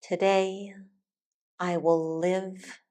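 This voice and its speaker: Very slow, calm woman guiding meditation. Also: on the low side. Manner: calm, soothing, deliberate with long pauses. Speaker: yoga and meditation teacher. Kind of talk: guided meditation, soft instructional narration.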